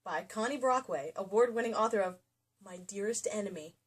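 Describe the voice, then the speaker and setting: A woman's voice: medium pitch, a little fast, slightly rough. A woman giving a comedic dramatic reading of a novel, jokey and prone to breaking off into casual asides. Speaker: co-host reading a romance novel aloud. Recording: faint background noise, amateur home video.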